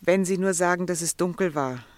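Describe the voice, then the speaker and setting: An actress playing a desperate, determined wife in a German radio drama, earnest, insistent and pleading. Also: female voice, on the low side, brisk, dry recording, lightly acted. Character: Mrs Parsons, desperate, determined wife of an accused man.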